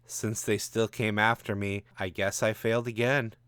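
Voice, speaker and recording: A man's voice: on the low side, level tone, moderate pace, fairly bright tone, clear, resonant tone, no room sound, lightly acted. A man voicing an older, scarred inventor who is wry and resigned, in a story.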